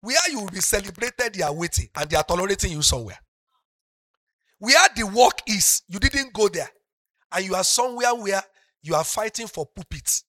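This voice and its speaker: Nigerian pastor guy with a yoruba accent preaching, high voice, bright sound, goes from soft to shouting. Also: lively intonation, moderate pace, no room sound, clean studio sound. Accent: Yoruba-speaking Nigerian. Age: middle-aged. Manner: preaching to a congregation, builds from soft talk to loud passionate shouting. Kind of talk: sermon.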